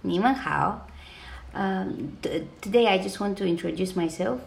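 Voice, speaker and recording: Slow, gravelly-voiced Bulgarian woman. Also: mid-range voice. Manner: hesitant, pauses often with fillers and restarts; earnest and enthusiastic. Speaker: Chinese language podcast host. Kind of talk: self-introduction to listeners.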